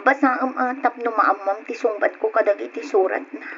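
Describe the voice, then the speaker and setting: A high, youthful voice plays an anxious, respectful young woman in love. The delivery is old-fashioned radio melodrama. The sound is dull, with some background noise. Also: steady tone, fairly quick, a bit bright. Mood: evasive. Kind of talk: old-style Ilocano radio drama acting. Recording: dull, noisy old radio drama recording.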